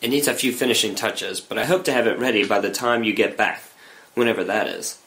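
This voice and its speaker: A man's voice: lowish voice, brisk, a bit bright. Man acting a dry, courteous older butler, echoey. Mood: dry, caring. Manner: formal, dryly witty. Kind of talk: screenplay table read acting. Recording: echoey room under score music.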